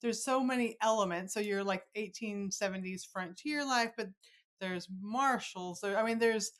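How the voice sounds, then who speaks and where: A woman's voice: mid-range voice, normal speed, fairly bright tone. An American woman hosting a casual podcast interview, encouraging and affirming as she asks questions. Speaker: podcast host.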